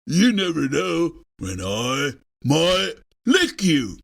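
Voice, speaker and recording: Woman voicing a big scruffy slobbering boy monster, gravelly. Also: sits low, very animated, very slow, voice pushed down, heavily acted. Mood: menacing, slobbery. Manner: slurping, whining.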